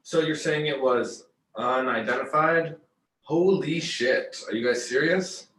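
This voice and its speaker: A man's voice: mid-range voice, measured pace, a bit bright, reverberant, distant mic, close to an everyday voice, rough recording. A man in a table read playing Ed, an easygoing, teasing computer repair worker who talks in casual slang. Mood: amazed, excited. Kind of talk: table read of a comedy script.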